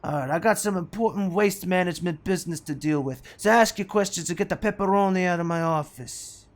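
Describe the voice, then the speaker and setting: A man's voice: high-pitched, a little fast, lightly acted. A man playing a blustering, touchy, food-obsessed mob boss in a comedy audio play. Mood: indignant. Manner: wisecracking, blustering. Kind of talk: comedic audio drama acting.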